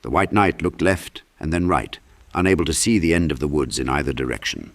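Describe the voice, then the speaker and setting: Male narrator for dark fantasy audiobook: low-pitched, dramatic, scripted storytelling. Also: a little fast.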